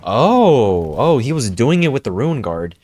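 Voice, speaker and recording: A young man reacting live to a video game, casual and slangy with excitable exclamations. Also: medium pitch, a little slow.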